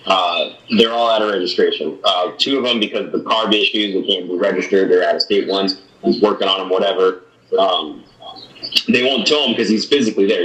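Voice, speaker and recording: A man, a sheriff's deputy, briefing a community meeting in a halting way, with many restarts and fillers. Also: on the low side, pushed and bright. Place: meeting room. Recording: echoey room.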